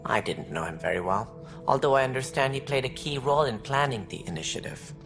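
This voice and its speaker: A calculating alien space-station director in a video game. He is measured and formal, with a self-assured, condescending edge. His mid-pitched voice sits over faint background music. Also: animated, creaky. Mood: formal, grave. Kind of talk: video game cutscene acting.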